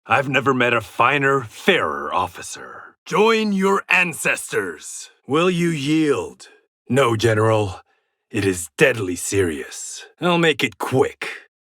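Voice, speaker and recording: A stern, proud blind swordsman from a fighting game. His mid-pitched voice is sharp and forceful, swinging widely in pitch. He delivers terse, confident taunts with a wry edge, recorded completely dry. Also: no echo, full character voice. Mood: determined, dry. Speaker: video game fighter character. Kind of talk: dramatic fighting game voice lines.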